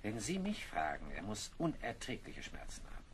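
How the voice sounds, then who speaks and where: A seasoned, knowledgeable naval officer in an adventure radio play. The voice is on the low side and very animated, wry, with clear ambient noise behind it. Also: male voice, normal speed. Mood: confiding.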